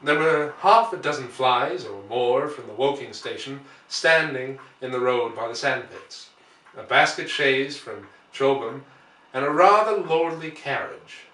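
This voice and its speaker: A man reading a classic novel aloud as an audiobook narrator, with measured, deliberate phrasing. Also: mid-range voice, animated, bright, forceful tone. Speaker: audiobook reader. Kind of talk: dramatic literary narration. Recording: roomy, distant microphone.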